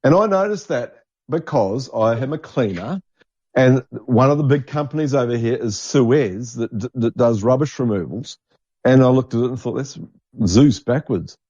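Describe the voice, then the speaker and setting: A man's voice: mid-range voice, expressive, normal speed, mellow, breathy edge, no room sound. A man hosting a podcast in casual chat, enthusiastic and repeating himself for emphasis, on a lo-fi recording. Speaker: podcast host. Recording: dull lo-fi, dry microphone sound.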